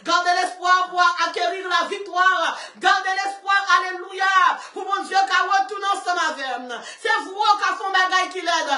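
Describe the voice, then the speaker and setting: A preacher, shouting a fervent sermon, chanting and breaking into song. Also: very high-pitched, moderate pace, hardly any pauses. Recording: echoey room, far from mic.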